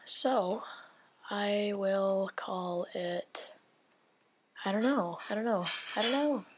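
A woman's voice: mid-range voice, slow, a little hiss or hum. A woman giving casual gameplay commentary, thinking aloud in a rambling way and often dropping to a whisper. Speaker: gaming video creator.

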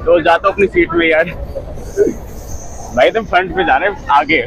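High-pitched young man vlogging over loud noise. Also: normal speed, dull audio. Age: 20s. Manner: chatty, informal, addressing viewers as friends. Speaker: travel vlogger. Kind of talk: casual travel vlog narration and chat with passengers. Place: crowded train carriages and railway platforms. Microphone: phone at arm's length. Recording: loud train and outdoor ambient noise.

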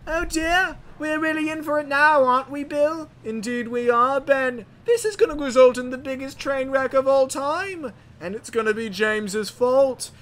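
A man's voice: very high voice, normal speed, hardly any pauses, fairly bright tone. A man voicing fussy, gloomy twin talking engines in a comic game roleplay. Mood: gloomy dread.

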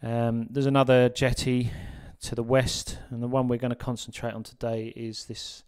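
Guy talking through a fishing spot, smooth clear voice, lots of ums. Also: medium pitch, moderate pace. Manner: relaxed, with frequent ums and uhs. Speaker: sea fishing guide presenter. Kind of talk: voice-over guide narration.

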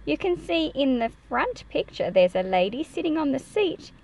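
A woman's voice: sits high, big pitch swings, normal speed, darkish tone, slight background noise, low-quality recording. A woman reading a picture book aloud and explaining it to children in a careful, teacherly way. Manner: careful, explanatory, enunciated for young listeners.

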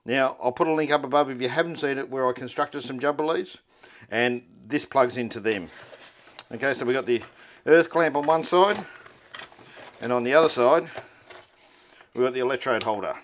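A man's voice: medium pitch, moderate pace, long pauses. An Australian man presenting an informal how-to tutorial in a laid-back, colloquial way. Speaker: presenter of a four-wheel-drive repair tutorial.

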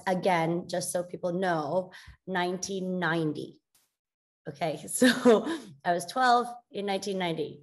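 A woman in her forties from the United States, a guest speaker telling her story in an animated, expressive way over a video call. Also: medium pitch. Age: 40s. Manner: animated, expressive, laughs often. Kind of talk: conversational interview. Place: plain indoor room.

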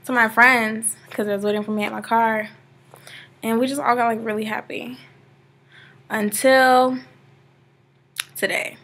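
A woman's voice: a little high, flat delivery, unhurried, long pauses, fairly bright tone, slight background noise. An eighteen-year-old woman from Georgia, rambling casually in a webcam vlog from her sofa, full of "like" fillers. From Georgia, USA. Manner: chatty and rambling, many "like" fillers. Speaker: vlogger. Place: living room, seated on a sofa indoors. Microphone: laptop webcam, close framing.

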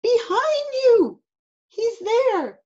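Woman as a frightened little boy, very high-pitched. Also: very slow, darkish tone, muffled recording. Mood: urgent alarm. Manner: gasping, squealing, tearful. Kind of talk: children's picture-book read-aloud.

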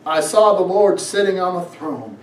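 A man's voice: sits high, expressive. A middle-aged man, a pastor, preaching emphatically, reading scripture and pressing his points with rhetorical questions. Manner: emphatic, exhorting, drawn-out phrases with rhetorical questions. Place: small church sanctuary, on stage. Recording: echoey room, distant from mic.

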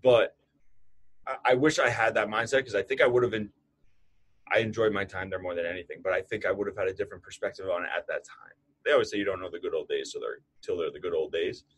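Husky, blunt-talking man in his late twenties. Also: sits low, fast. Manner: blunt, swears freely, animated storytelling. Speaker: podcast co-host, strength coach. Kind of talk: casual chat.

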